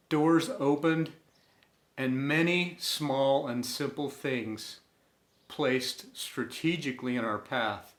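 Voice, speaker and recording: Male storyteller, mid-pitched, unhurried and deliberate, scripted inspirational narration, audible room echo. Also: a bit bright. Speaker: storyteller and host. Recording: roomy, echoing room sound.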